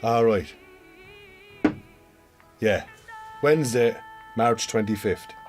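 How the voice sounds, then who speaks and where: An actor playing a weary, evasive Irish farmer husband in a naturalistic radio drama, with an Irish accent. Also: male voice, lowish voice, takes it slowly, music in the background. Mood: exasperated.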